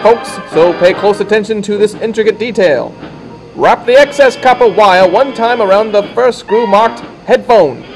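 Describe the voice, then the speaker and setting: A man narrating a scripted how-to film, giving step-by-step instructions in a deliberate, folksy way. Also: high voice, rough recording. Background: instrumental music.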